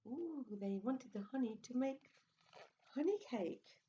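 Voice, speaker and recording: Female preschool story reader: unhurried, expressive, coaxing, slightly muffled lo-fi audio. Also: a little high, slight background noise. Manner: expressive, coaxing, uses tag questions. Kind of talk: picture-book read-aloud with asides to young listeners.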